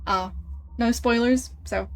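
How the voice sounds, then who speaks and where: A woman's voice: fairly high pitch, slow, a little hiss or hum. A young woman streaming a game, chatting casually with viewers and chuckling as they guess her accent. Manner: relaxed, chuckling, teasing about her accent. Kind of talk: casual livestream chat, reading chat.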